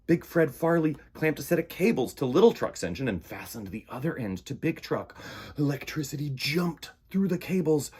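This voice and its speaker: A man's voice: on the high side, lively intonation, moderate pace, a little mellow, slightly rough. A man reading a picture book aloud to children with dramatic emphasis and stretched words. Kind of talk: animated children's story reading.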